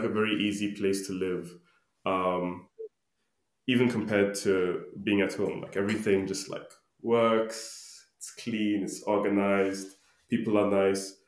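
Young male podcast guest from Ghana, low voice, informal and laid-back, roomy echoey sound. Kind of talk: casual conversational interview answers.

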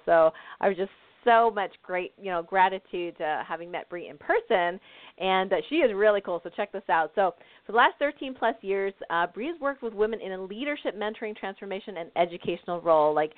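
A woman's voice: medium pitch, dry recording. A woman hosting a live call-in radio show, talking in a bubbly, enthusiastic way and laughing often. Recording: tinny phone-line audio.

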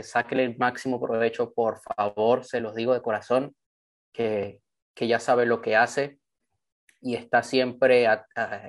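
A young man from Venezuela giving an online training talk over a video call, earnest and enthusiastic as he tells his story. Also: mid-range voice. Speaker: motivational trainer.

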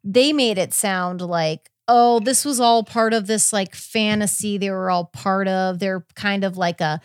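A woman's voice: medium pitch, unhurried, clear, resonant tone, no room sound. Casual woman podcaster, close dry sound. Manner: hesitant, self-correcting. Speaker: podcast host. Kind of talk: casual chat recapping a court case.

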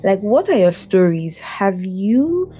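A woman from Africa who stutters, hosting a candid personal podcast, talking in a halting way with frequent fillers and restarts. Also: mid-range voice, very animated, dark tone. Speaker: podcast host.

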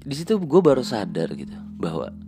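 A man's voice: mid-range voice, wildly swooping pitch, a little fast. A man, telling a personal story in a reflective, hesitant way with many restarts. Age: late 20s. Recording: guitar music playing behind.

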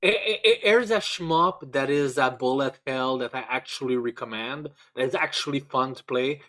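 A man's voice: mid-range voice, moderate pace, sharp tone. Stammering man chatting casually about games. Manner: stammering, repeats words often; casually profane. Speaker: podcast co-host. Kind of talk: casual video game chat.

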